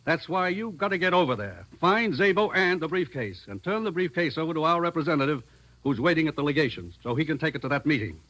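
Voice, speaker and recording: A brisk American commissioner in an old 1950s radio thriller. His voice is a bit high and moves emphatically as he gives orders with businesslike authority. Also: expressive, fairly bright tone, low-quality recording. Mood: stern, urgent. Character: brisk, stern male government commissioner briefing his agent. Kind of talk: 1950s radio drama acting. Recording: vintage 1950s radio recording.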